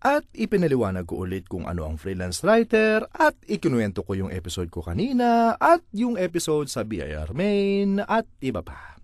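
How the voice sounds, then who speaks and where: A man's voice: fairly high pitch, huge pitch swings, normal speed, no room sound, studio quality. A man with a Filipino accent reads a comic monologue in a theatrical, exasperated manner. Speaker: monologue reader. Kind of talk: dramatic comic monologue reading.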